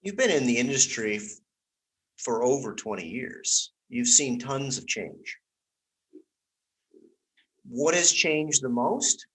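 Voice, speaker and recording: A man's voice: medium pitch, animated, slow, pauses often, fairly bright tone, low-quality recording. A man hosting an interview, asking thoughtful questions and rephrasing them as he goes. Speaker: interview host.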